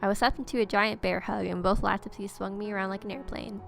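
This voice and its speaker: A woman's voice: medium pitch, fairly even intonation, quick pace, vocal fry, soft background music. A woman reading a visual novel aloud, with irreverent, sarcastic asides. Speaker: let's player.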